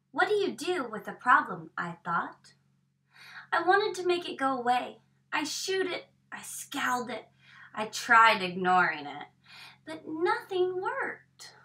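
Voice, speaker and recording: A woman in her thirties reading a picture book aloud expressively, with dramatic hushed emphasis, recorded from a camera across a small room. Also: high-pitched, big pitch swings, slow pace. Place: small indoor room with bookshelves. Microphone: camera a few metres away. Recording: distant microphone in a room.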